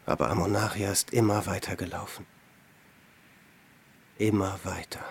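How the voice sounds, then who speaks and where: An actor playing a tormented, self-pitying ascetic hermit in a radio drama, anguished and swinging between whispers and cries. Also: male voice, deep voice, fairly even intonation, normal speed, creaky, husky, exaggerated voice. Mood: wistful remembering.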